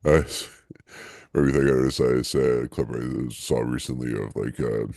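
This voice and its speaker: Man, very low voice, gravelly, casual rambling chat, dry. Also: fairly even intonation, a little mellow, no echo. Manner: rambling, halting, many fillers and restarts, laughs often. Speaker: podcast co-host.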